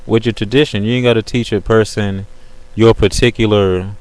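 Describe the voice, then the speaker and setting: A man's voice: fairly low pitch, steady tone, normal speed, a little mellow, low-quality recording. A man chatting casually on a webcam call in a slangy way, often repeating filler phrases as he makes his point. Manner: slangy, repeats "you know what I'm saying". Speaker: host of an online video call. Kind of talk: casual chat.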